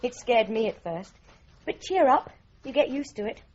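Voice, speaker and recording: Radio drama: composed, primly teasing Englishwoman, high animated voice, office secretary role. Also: normal speed, dull audio. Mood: helpful. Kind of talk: 1980s radio drama acting.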